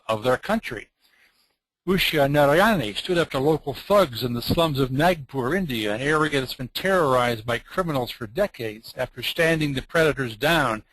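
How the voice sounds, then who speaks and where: A man's voice: mid-range voice, fairly bright tone, no echo, low-quality recording. A man on a webinar panel, steadily telling stories of brave people.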